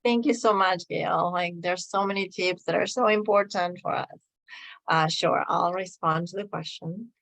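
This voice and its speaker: Argentinian woman answering a mock interview, bit low voice, lots of pauses. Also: vocal fry, a bit bright. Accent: Argentinian Spanish. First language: Spanish. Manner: hesitant, many pauses. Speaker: workshop participant.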